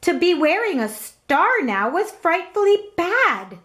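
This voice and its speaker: A woman reading a children's story aloud in an expressive, dramatic and emphatic narrating style. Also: very high voice, takes it slowly. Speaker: storybook reader.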